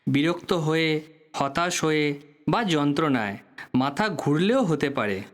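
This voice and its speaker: A man's voice: on the high side, expressive, a little slow. A man playing a courteous, guarded and slightly weary doctor in an audio drama, explaining things in a professional manner. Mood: guarded, explanatory. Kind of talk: Bengali audio drama acting.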